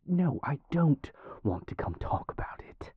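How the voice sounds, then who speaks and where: A man playing a guarded, world-weary vampire in an intimate audio roleplay, speaking formally and measuredly in a breathy, gravelly near-whisper. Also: on the high side, sing-song, no echo, narrow, tinny audio, heavily acted. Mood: irritated, curt. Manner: formal, measured, clipped, sighing diction.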